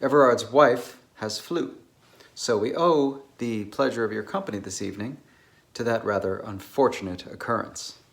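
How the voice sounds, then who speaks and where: A man's voice: medium pitch, normal speed, creaky, lightly acted. A man playing a polite, slightly awkward scholarly bachelor in a dramatized novel reading. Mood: genial, polite. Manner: courteous, slightly awkward, hesitant. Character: polite, hesitant single man, a scholarly dinner host.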